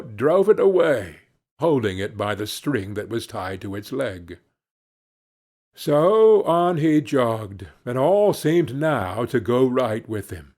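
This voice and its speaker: Unhurried, lively male storybook narrator. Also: mid-range voice. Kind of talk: scripted fairy tale narration.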